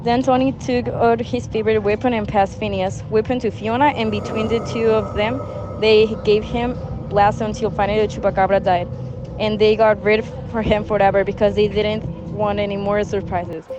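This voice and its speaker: A woman reading a scripted story narration in a halting way, stumbling over words. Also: little pitch movement, dull audio. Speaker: student narrator of a class radio drama. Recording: noisy recording with background rumble.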